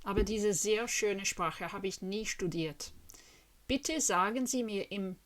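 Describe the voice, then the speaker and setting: A woman in her fifties from Western Australia, with an Australian accent, talks to camera in a language she learned later in life. She speaks slowly and deliberately, in an earnest, friendly way, and keeps asking her viewers questions. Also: mid-range voice. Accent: Australian English. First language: English. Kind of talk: slow, careful talk to camera in a learned language.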